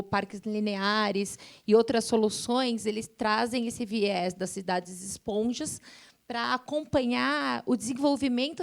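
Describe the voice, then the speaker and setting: Higher-voiced Brazilian woman explaining, slightly creaky. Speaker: environmental science panelist. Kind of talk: explanatory panel talk at a forum.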